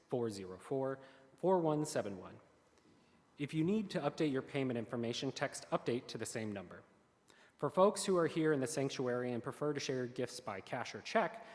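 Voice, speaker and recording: Man reading church announcements in a reverberant sanctuary. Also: mid-range voice. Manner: earnest, careful reading with small slips. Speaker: worship service lay leader. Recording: long hall reverb.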